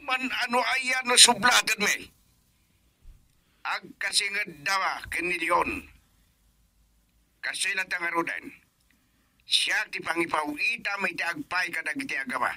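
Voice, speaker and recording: Stern older grandfather, Filipino accent, fairly high voice, blunt scolding delivery, close dry sound, radio drama. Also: a little slow, pauses often, pushed and bright, no room sound, full character voice. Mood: stern, grumbling. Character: stern older grandfather scolding about his son-in-law. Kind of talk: Ilocano radio drama acting.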